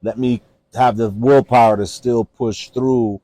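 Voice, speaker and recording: A man from Jamaica hosting a casual interview, asking questions and backing up his guest with short quick replies. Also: medium pitch, fairly even intonation, warm tone, no echo. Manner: talks fast, asks questions, affirms with short replies. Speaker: interview host.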